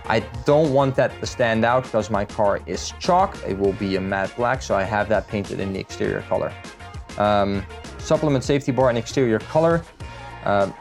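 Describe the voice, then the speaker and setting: A man from the Netherlands, talking through a car build in a casual, matter-of-fact vlog style as a salesman would. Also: on the low side.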